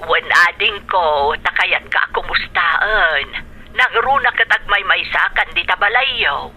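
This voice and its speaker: A middle-aged Ilocano elder sister in a radio drama. Her voice is sharp and crackly, with music behind it. She comes across as caring and concerned for her family. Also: fairly low pitch, expressive, unhurried, husky, heavily acted. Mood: warm, persuading.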